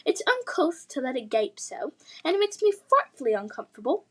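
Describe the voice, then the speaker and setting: A haughty dragon from a children's fantasy tale, performed by a woman. The voice is high, with a posh English accent. The diction is refined and fussy, with a prim, exasperated, scolding manner. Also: sing-song, a little fast, fairly bright tone. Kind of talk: children's fantasy read-aloud.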